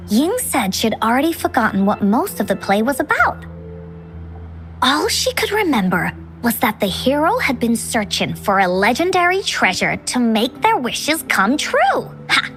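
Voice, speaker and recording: A woman voicing a chirpy, imaginative little girl storyteller in a video game, with a childlike, expressive delivery. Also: on the high side, full character voice. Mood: proud, playful. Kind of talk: scripted video game dialogue.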